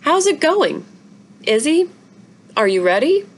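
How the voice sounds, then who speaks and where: A woman's voice: sits high, very animated, slow pace, slight background noise, voice pushed up, full character voice. A woman playing a coaxing, anxious mother. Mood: expectant.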